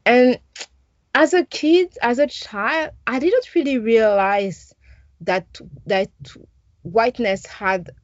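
A woman's voice: fairly high pitch, slow pace, muffled recording. A French woman in her forties, a journalist answering interview questions thoughtfully with many hesitations and restarts. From France.

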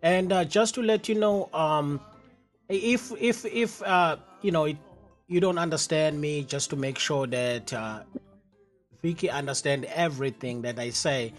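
A male radio host interviewing a guest, enthusiastic and halting, with many fillers and restarts. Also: a little high, faint music behind.

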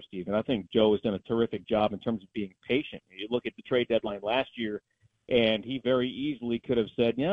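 A man's voice: medium pitch, dry recording. A man, a sports announcer appearing as a guest, giving emphatic, opinionated analysis in a conversational way over a dull, narrow-band line.

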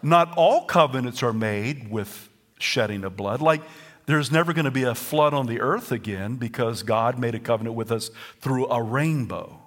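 A man with a Southern US accent preaching a sermon as pastor, lively and at times rising to near-shouts and singing. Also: mid-range voice, normal speed.

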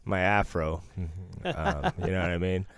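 A man's voice: deep voice, steady tone, measured pace, no room sound, studio quality. A man co-hosting a casual podcast from a friend's bedroom, cracking jokes and laughing often while nursing a cough and a cough drop. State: has a cough, sucking a cough drop. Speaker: podcast co-host. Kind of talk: casual joking chat.

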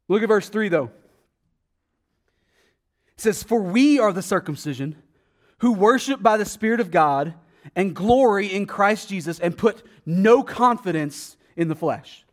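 High-voiced pastor preaching conversationally. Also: male voice. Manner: conversational, asks the congregation rhetorical questions; dramatic swings in loudness and pitch for emphasis. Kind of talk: sermon.

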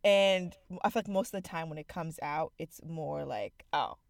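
A young woman from Zimbabwe, co-hosting a podcast in candid, animated casual chat with frequent fillers. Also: low-pitched, normal speed, gravelly, no echo. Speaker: podcast co-host.

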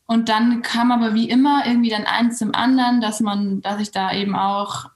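A woman's voice: a little high, fairly even intonation, moderate pace. Hesitant woman in a roomy space. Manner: hesitant, restarts and fillers. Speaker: yoga teacher and co-founder. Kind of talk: casual interview talk. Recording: roomy echo.

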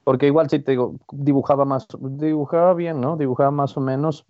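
A man's voice: mid-range voice, steady tone, a little fast, no echo. Northern Mexican man chatting, slightly muffled recording. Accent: northern Mexican Spanish. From Ciudad Juárez, Mexico, and El Paso from age twelve. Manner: informal, digressive, often tags phrases with a checking "¿no?". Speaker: guest, printmaker artist. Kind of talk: casual conversational interview. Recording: slightly dull, lo-fi, dry sound.

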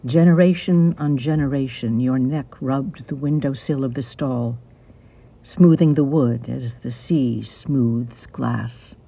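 A man reciting a poem with measured, deliberate phrasing. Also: mid-range voice, gravelly, dark tone, husky. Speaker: poetry reader. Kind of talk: poetry recitation.

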